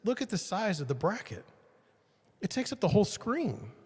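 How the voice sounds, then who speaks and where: A man's voice: sits high, very animated, normal speed, a little mellow, husky, in a large hall. A podcast host rambling to his livestream viewers while swapping out his microphone mount, joking at his own expense. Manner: self-deprecating, rambling, talks to viewers. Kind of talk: casual self-talk while fixing gear on a livestream. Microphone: studio mic with changing levels.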